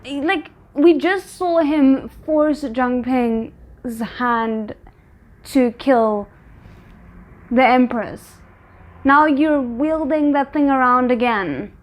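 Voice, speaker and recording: A woman giving casual, expressive reaction commentary on a drama, thinking aloud as she goes. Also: high-pitched, drawn-out words, highs cut off. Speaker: reaction video host.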